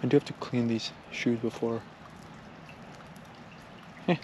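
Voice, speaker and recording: Man, casual outdoor vlogger, mid-pitched, laid-back, takes mishaps in stride; clear background noise from the open air. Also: fairly even intonation, slow. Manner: easygoing, shrugs off setbacks, talks to viewers. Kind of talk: casual walk-and-talk vlog narration. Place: outdoors by a lake and trails.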